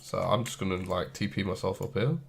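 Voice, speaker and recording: Deep gravelly man with a cold. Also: fairly even intonation, fairly quick, a little hiss or hum. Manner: thinks aloud while clicking and typing, loose and chatty. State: has a cold, sniffly. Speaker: game tutorial host. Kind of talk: casual screen-recorded tutorial.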